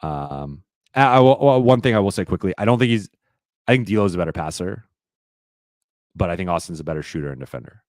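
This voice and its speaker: A man hosting a live-stream Q&A, talking casually and rambling with lots of fillers and restarts, his voice a little raspy. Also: deep voice, animated, brisk, long pauses, a little mellow, no echo, clean studio sound. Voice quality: slightly raspy voice.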